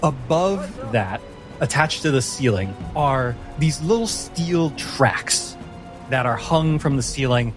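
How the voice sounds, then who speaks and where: Male game master, medium pitch, descriptive and dramatic storytelling, clear music bed. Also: expressive, slow. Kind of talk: descriptive tabletop game narration. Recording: music playing under the voice.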